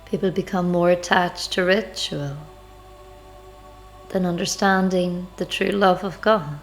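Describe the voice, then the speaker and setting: A woman leading a devotional guided meditation, speaking in measured, deliberate phrases with pauses. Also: lowish voice, fairly even intonation. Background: background music.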